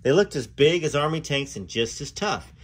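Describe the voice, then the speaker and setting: A man hosting and reading a memoir aloud in an expressive storytelling style, with dramatic phrasing and casual asides. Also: a little high, normal speed, husky.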